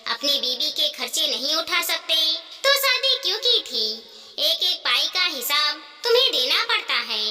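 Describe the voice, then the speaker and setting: A woman's voice: high-pitched, sing-song, unhurried, bright, forceful tone, recorded from a distance, a little hiss or hum, full character voice. A woman voicing a spoiled, stubborn young wife in a moral story, complaining and defiant. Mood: indignant. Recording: long hall-like reverb.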